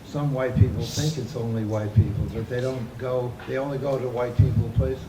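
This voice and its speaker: A man interviewing an author on stage before an audience, speaking haltingly with many restarts. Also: mid-range voice, steady tone, echoey room, background noise. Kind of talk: onstage interview. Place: event hall with an audience.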